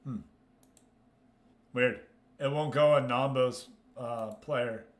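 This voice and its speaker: A man's voice: mid-range voice, steady tone, takes it slowly. A man livestreaming a football management game, chatting casually with viewers and reacting aloud as he goes. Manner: informal, reacting aloud, talking to himself. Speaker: live streamer hosting a Football Manager league. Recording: roomy, echoing sound.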